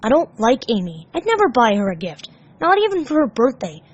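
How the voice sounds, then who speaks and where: A woman's voice: on the high side, huge pitch swings, a little fast, breathy edge, highs cut off, heavily acted. A woman voicing a blunt young male hedgehog who is grumpy yet caring, speaking plainly and earnestly. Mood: tender, sheepish.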